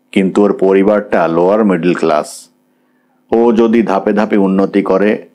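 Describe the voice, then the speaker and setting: A man voicing a pragmatic, class-conscious middle-aged father, measured and businesslike, mixing in English words. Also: lowish voice, warm tone, close to an everyday voice.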